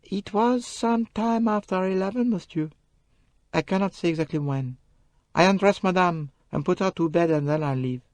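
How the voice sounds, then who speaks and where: Man voicing a high, French-accented young maid. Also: normal speed, dry recording, lightly acted. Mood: evasive. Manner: pleading, emphatic, broken English phrasing. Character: young French lady's maid, nervous and voluble. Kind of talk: audiobook character voice.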